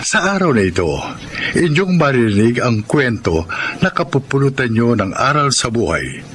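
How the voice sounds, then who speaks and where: A Filipino man hosting a radio drama with theatrical, scripted announcing. His mid-pitched voice moves expressively over clearly audible music. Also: sing-song, moderate pace, a bit bright.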